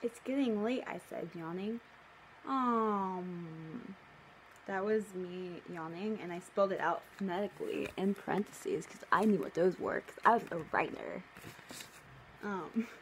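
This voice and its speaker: A woman's voice: on the low side, expressive, unhurried. Young woman reading aloud, noisy home recording. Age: young adult. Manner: playful, mock-indignant asides. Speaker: video creator. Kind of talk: casual vlog reading aloud own childhood story with commentary. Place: living room at home, indoors.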